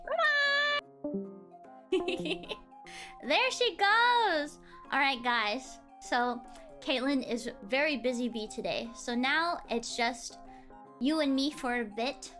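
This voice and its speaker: A woman's voice: sits high, slow, pauses often. A young woman hosting a guest on her stream, giggly and gushing in casual chat. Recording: faint music behind the voice.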